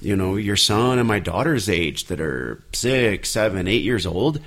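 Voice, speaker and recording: A man's voice: on the low side, animated, a little slow, a bit bright. A man in his thirties, an artist co-hosting a podcast, talking in a rambling way and correcting himself as he goes. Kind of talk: casual podcast chat.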